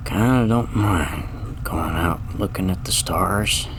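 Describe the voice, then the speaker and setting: Weary dying space garbage man, lowish gravelly voice, folksy, reflective, wry, lonely, sci-fi audio drama monologue. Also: slow, background noise. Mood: resigned.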